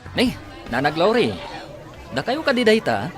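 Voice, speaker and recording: A man's voice: high voice, big pitch swings, a little slow, over music, crowd noise. A man acting a friendly, curious young visitor with an Ilocano accent in a radio comedy drama.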